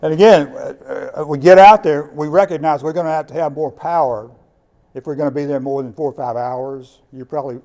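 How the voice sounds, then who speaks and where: A man with a slightly high voice gives an informal talk to a group. He explains things conversationally, often doubling back on words, as the presenter in a meeting room. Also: fairly quick, mellow. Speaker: presenter of radio kits at a club meeting. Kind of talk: informal presentation with audience questions.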